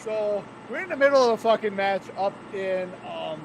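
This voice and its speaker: High-voiced man, wrestler and co-host, swears and laughs through stories; faint noise. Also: animated, moderate pace, creaky. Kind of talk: casual profane chat.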